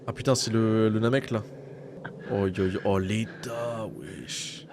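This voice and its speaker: A man chatting casually and excitably in slang as he reacts live to an anime. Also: fairly low pitch, slow pace, background noise. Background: anime soundtrack and dialogue.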